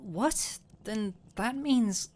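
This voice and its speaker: A woman playing a gentle, anxious fantasy alchemist with a teasing, tender streak in an intimate roleplay audio drama, speaking in a soft, hesitant murmur. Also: fairly high pitch, expressive, very slow. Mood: teasing, fond.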